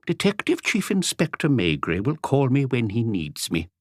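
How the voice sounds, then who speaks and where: An arch little old woman in an audiobook mystery, acted out by a male narrator. The voice is raised well up in pitch, coy and serenely stubborn, in a dry recording. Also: huge pitch swings, normal speed, no echo. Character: odd, arch, graceful elderly little woman.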